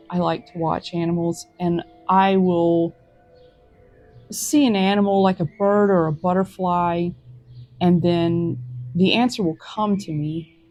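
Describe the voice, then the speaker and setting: A woman hosting a tarot reading, talking to viewers in a gentle, reflective, encouraging way. She is recorded outdoors in a forest. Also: fairly low pitch. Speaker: tarot reader.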